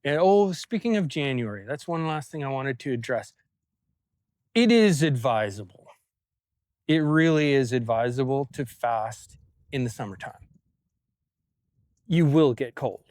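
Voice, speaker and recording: A man talking casually to camera in a personal vlog outdoors on a farm, halting and reflective, with phrases that trail off. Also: mid-range voice, animated, a little mellow, no echo. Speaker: vlogger.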